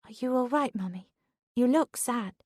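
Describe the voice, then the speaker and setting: A woman voicing a gentle, obedient young girl in an audiobook, softly and with concern. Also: fairly high pitch, moderate pace, no echo, studio quality, voice pushed up, close to an everyday voice.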